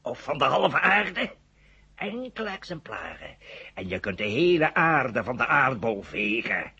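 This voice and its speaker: Gravelly, sharp-voiced older professor. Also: male voice, mid-range voice, big pitch swings, exaggerated voice. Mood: smug, boastful. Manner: condescending, deliberate, sceptical. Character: older male professor, inventor of a doomsday bomb, condescending. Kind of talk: 1970 Dutch radio drama acting. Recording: muffled 1970 radio play recording.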